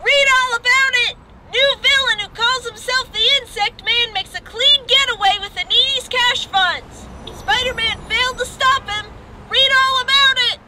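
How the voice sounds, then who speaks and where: A man voicing an excitable young newsboy, calling out like a street crier in an old-time cartoon style. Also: very high-pitched, normal speed, heavily acted, low-quality recording. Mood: excited. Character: excitable shrill young newsboy hawking papers. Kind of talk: old-time cartoon newspaper hawker acting.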